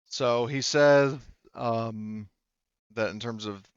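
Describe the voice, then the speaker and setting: A man game-mastering a tabletop roleplaying game, informally relaying a character's answers in a halting way, rephrasing as he goes. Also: mid-range voice, steady tone, clear, resonant tone, dry recording, muffled recording.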